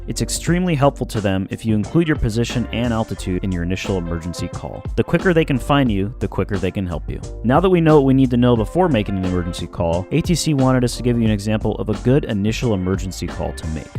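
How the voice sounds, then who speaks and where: Low-voiced man narrating over music. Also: darkish tone. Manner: informal, jokey, slangy. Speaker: aviation explainer video narrator. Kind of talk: scripted instructional narration. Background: background music.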